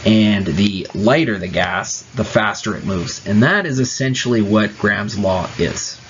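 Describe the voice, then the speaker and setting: A man's voice: lowish voice, unhurried, slight background noise. A man teaching chemistry, explaining each step patiently in a casual tone with small fillers. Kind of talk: classroom explanation. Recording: dull lo-fi recording.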